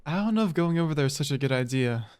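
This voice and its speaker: A man playing a wary teenage boy in an audio drama, hesitant and hushed as he hints at secrets. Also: a little high, animated, fast talker, clean tone, no echo, natural voice. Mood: uneasy.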